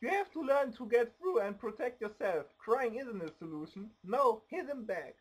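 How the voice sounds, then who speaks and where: A woman acts out a feisty young mother in an amateur gangland radio play. She is stubborn and urgent, scolding in a lively, swooping voice. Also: on the high side, moderate pace, husky. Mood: firm.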